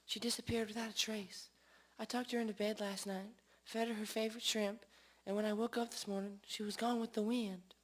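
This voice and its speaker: A woman's voice: medium pitch, flat delivery, fairly quick, natural voice. A woman playing a fretful, melodramatic lady pet owner with a theatrical, plaintive and very polite manner in a comic radio drama. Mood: distraught.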